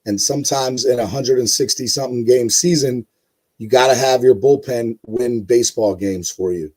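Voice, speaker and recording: A man with a hoarse voice hosting a casual live-stream sports show, rambling with many fillers and talking to his chat viewers. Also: medium pitch, moderate pace, a little mellow, poor audio. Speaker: host.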